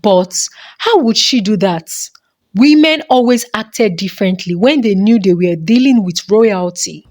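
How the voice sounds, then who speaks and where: A woman's voice: mid-range voice, lively intonation, unhurried, a little mellow, no room sound, clean studio sound. A woman narrating a folktale as a dramatic storyteller.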